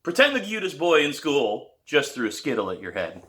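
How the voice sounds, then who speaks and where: A man doing a demanding male drum teacher, pitched up, loud and sarcastic, barking out blunt orders. The voice is bright and swings widely in pitch as he pushes his student. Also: wildly swooping pitch, brisk. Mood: teasing.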